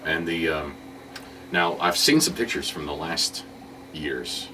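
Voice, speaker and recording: A man's voice: rumbling low, slow pace, far from the mic. A man interviewing casually on the radio, with an informal, loosely phrased way of talking. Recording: noisy, off-mic radio interview recording.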